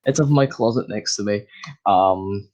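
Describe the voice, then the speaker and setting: A man's voice: fairly low pitch, moderate pace, a little mellow, lo-fi sound, a little hiss or hum. A young man chatting casually as a podcast guest, rambling with lots of fillers and restarts. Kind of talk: casual chat.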